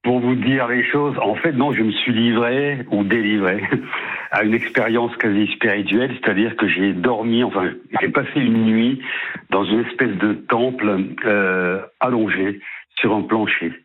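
A man in his fifties calling into a radio show, tired and halting, repeating words as he rambles. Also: lowish voice. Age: 50s. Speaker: caller. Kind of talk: phone-in confiding. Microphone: phone. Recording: tinny phone line.

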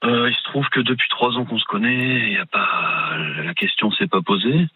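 A forty-year-old man from Cherbourg with a light Norman French accent, calling in to a radio show and talking haltingly, full of fillers. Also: mid-range voice, husky, dry recording. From Cherbourg, France. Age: 40s. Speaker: radio caller. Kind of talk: phone-in chat. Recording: tinny phone line.

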